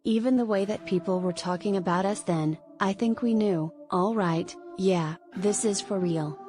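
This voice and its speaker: A woman narrating a scripted celebrity news article in a measured read-aloud manner. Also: mid-range voice, darkish tone. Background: music.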